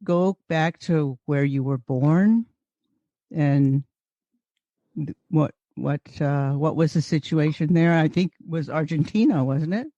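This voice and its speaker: A woman's voice: low-pitched, slow pace, dark tone, dry recording, muffled recording. A woman podcast host introducing her guest admiringly, often restarting and stumbling over words. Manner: admiring, with frequent restarts and fillers.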